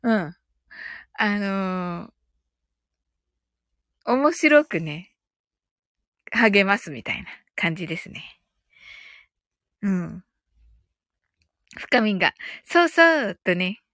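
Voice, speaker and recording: A woman's voice: mid-range voice, sing-song, drawn-out words, long pauses, fairly bright tone, no room sound, studio quality. A woman hosting a livestream, talking to listeners and leading mindfulness. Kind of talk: casual livestream chat.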